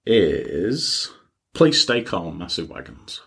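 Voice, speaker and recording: Low husky guy on a music podcast, kinda halting, casual. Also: big pitch swings, a bit bright. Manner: halting, searching for words. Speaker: podcast co-host.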